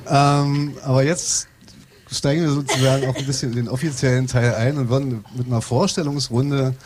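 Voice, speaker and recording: A man's voice: medium pitch, steady tone, moderate pace. A man casually hosting a live discussion, with wordy, roundabout phrasing. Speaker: host of a live radio discussion. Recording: some background noise, live event ambience.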